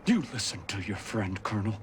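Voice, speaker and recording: A man's voice: lowish voice, very animated, fairly quick, a little hiss or hum. An actor playing a stern army superior officer reprimanding his men in a clipped, commanding way. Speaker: senior military commander. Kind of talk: video game cutscene acting.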